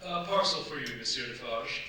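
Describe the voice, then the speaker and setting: A man's voice: mid-range voice, lively intonation, normal speed, close to an everyday voice. Man acting echoey, deferential servant. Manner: formal, polite. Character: deferential, courteous male French hotel servant. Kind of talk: staged radio play acting. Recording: echoey stage, distant mic, clear background noise.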